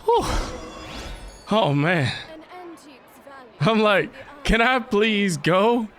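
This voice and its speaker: A man commentates casually on a game with faint music underneath. His voice sits a little high and moves around in lively fashion. He drops offhand asides as he reacts. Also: very slow. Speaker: game streamer.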